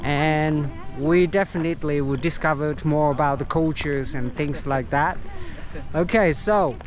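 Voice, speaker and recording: Vietnamese-accented man, halting speech. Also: fairly high pitch. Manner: halting, searching for words with many pauses. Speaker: tour guide and travel vlogger. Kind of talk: casual travel vlog while trekking. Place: outdoors on a mountain trail. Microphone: action camera carried along.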